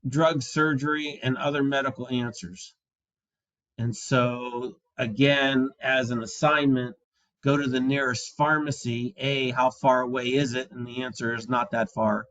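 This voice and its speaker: A man in his sixties giving an instructional talk to fellow practitioners in an earnest, deliberate, emphatic way. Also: mid-range voice, a bit bright. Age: 60s. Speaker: chiropractor and practice coach. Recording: dull, dry lo-fi audio.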